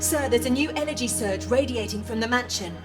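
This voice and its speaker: Actress as female AI co-pilot, calm, formal, measured, fairly high pitch, video game, loud music. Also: natural voice.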